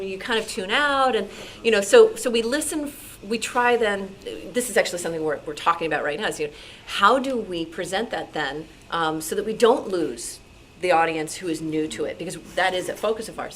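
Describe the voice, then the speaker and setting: A woman speaking informally and animatedly as a radio programmer answering questions, halting as she thinks aloud, recorded live at a meeting. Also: medium pitch, fairly bright tone, a little hiss or hum. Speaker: radio show programmer taking audience questions. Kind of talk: informal talk with audience questions. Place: club meeting room.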